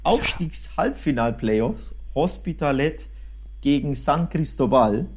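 A man's voice: fairly high pitch, lively intonation, slow, slight background noise. A man chatting casually and colloquially as co-host of a football podcast. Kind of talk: casual chat, recounting match visits.

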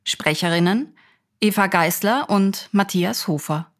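A woman announcing a radio play in a scripted, measured delivery. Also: medium pitch, background noise. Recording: music behind the voice.

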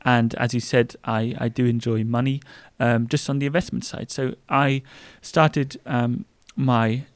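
A man's voice: mid-range voice, level tone, unhurried, no echo. A man in his thirties with a German accent, chatting casually as a podcast co-host with a lot of fillers. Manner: chatty, rambling with frequent fillers.

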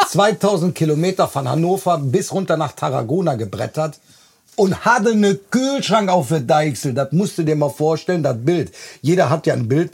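A man, co-hosting a podcast and telling stories with lively enthusiasm. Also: a little high, vocal fry, breathy edge. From Bonn, Germany. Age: 40s. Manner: animated, enthusiastic storyteller. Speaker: podcast co-host. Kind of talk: casual chat.

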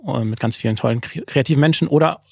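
A man's voice: medium pitch, fast talker, creaky, a little mellow, husky, dry recording, studio quality. A man giving conversational answers as a guest in a podcast interview. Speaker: agency founder, podcast guest.

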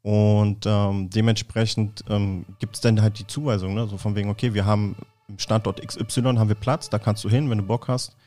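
A man's voice: lowish voice, moderate pace, hardly any pauses. A man in his thirties from Berlin, a football player talking casually as a podcast guest, with frequent fillers and restarts. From Berlin, Germany. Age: 30s. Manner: relaxed, many fillers and restarts. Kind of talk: casual interview chat.

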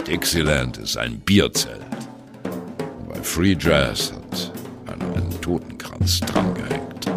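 An ageing jazz musician tells his story over music in an extremely low voice. His delivery is wry and theatrical, swinging widely in pitch. He phrases deliberately, as in a radio play monologue. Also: vocal fry, heavily acted. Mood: sardonic. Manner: wry, dramatic, deliberate phrasing with colloquial turns. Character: ageing male jazz musician, wry, reminiscing on postwar youth.

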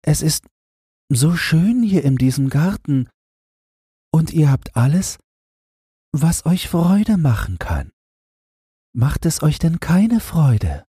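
Man voicing a tender, wistful fairy-tale queen, husky. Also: on the high side, sing-song, slow pace, pauses often, dark tone, no echo, clean studio sound, voice pushed up, exaggerated voice. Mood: tender, concerned. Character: gentle, sorrowful fairy-tale queen and mother.

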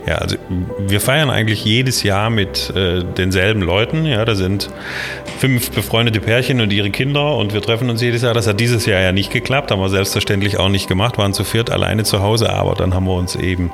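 A man in his fifties with a Swabian-Alemannic accent, a guest on an interview podcast, explaining things in a measured way with frequent hesitations. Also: on the low side, vocal fry, big-room sound, over music. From Baden-Württemberg, Germany. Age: 50s. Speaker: city planning official, guest.

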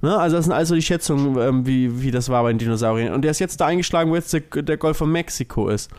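Male podcast co-host, somewhat high-pitched, informal explanatory chat, matter-of-fact delivery. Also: fast, darkish tone, clean tone.

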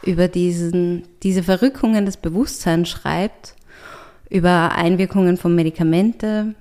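A woman's voice: lowish voice, fairly even intonation, a little slow, mellow. A woman writer talking in an interview, thoughtful and searching for words, with frequent hesitations. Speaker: writer and artist, interview guest. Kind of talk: reflective conversational interview.

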